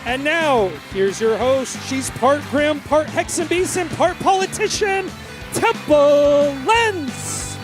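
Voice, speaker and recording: High-pitched show announcer over music. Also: lively intonation, slow pace. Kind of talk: excited live show introduction.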